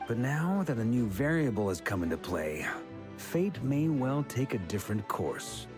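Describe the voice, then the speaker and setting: A man playing a seasoned, easygoing middle-aged knight commander in a video game, speaking in a measured, reassuring, thoughtful way. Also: mid-range voice, very animated, over music, natural voice. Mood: warm, thoughtful.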